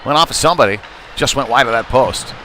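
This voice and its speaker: A man doing live play-by-play ice hockey commentary in short, halting, conversational phrases. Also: mid-range voice, bright, forceful tone. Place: indoor ice rink. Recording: noisy live arena stream, rink ambience. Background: clear rink noise and ambience.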